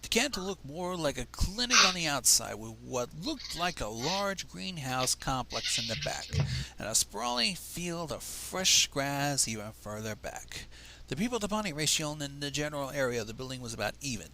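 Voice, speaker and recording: A man's voice: fairly high pitch, sing-song, normal speed, vocal fry, heavy background noise. A man reading a story aloud in a dramatic, wry manner, slipping into casual asides between narrated passages. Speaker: livestream fanfic reader.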